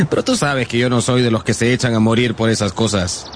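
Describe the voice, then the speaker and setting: An actor playing a proud, candid young gentleman and rejected suitor in a radio drama, frank and theatrical. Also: mid-range voice, brisk, natural voice. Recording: faint background ambience noise.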